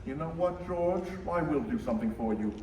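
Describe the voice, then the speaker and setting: A young man playing a cruel, gloating old banker in a stage radio play, pompous, deliberate and sneering. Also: fairly high pitch, lively intonation, darkish tone, lightly acted. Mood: gloating menace. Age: teenager or young adult. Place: school theater stage. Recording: echoey stage, distant mic, faint noise.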